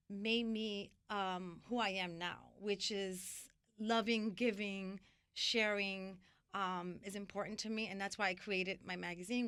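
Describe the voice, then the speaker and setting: Middle-aged New York woman, interview guest; bright, crisp voice, dry close sound, loose animated storyteller. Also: mid-range voice, no echo. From New York (Washington Heights). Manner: animated, rambling, many fillers and restarts. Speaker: interview guest, magazine founder.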